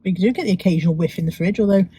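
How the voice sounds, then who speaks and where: Croaky woman chatting through a haul. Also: fairly low pitch, rapid-fire, dark tone. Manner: chatty, self-mocking asides to viewers. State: croaky from a cold, sniffly. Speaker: vlogger. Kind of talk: chatty shopping haul vlog.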